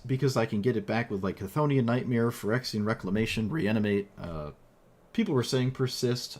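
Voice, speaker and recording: Hoarse man rambling about gameplay. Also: mid-range voice, darkish tone. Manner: rambling, with frequent fillers and self-corrections. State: hoarse, losing his voice. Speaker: streamer reviewing a card game deck. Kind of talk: casual gameplay commentary.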